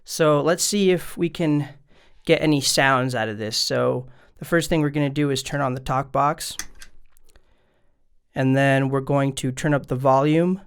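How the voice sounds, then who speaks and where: A man's voice: mid-range voice, a little slow, smooth. A man presenting a casual step-by-step tutorial vlog in an easygoing, conversational way, addressing his viewers directly. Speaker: music gear video maker and presenter.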